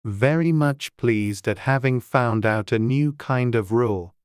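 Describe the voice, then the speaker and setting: A woman narrates a storybook in an exceptionally low voice. It is scripted audiobook reading, recorded close and dry with no room sound. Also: a little slow, a little mellow, clear, resonant tone, dry recording.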